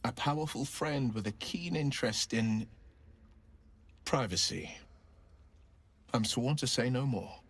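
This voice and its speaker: A man's voice: mid-range voice, measured pace, pauses often, husky. A man playing a gallant, earnest monster-hunting hero in a fantasy video game, telling things in a theatrical, storytelling way. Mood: earnest, solemn.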